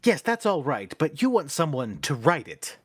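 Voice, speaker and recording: Man acting a blustery theatre manager, swinging pitch. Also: big pitch swings, normal speed, slightly rough. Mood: dismissive. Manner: brusque, theatrical, commanding. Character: blustery, sceptical theatre manager, gradually tempted. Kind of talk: stage-play acting in an audio drama.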